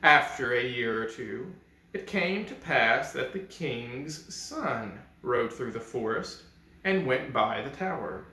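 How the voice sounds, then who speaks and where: A man narrating a fairy tale as a storyteller, with expressive, dramatic and deliberate phrasing. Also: medium pitch. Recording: echoey, roomy sound.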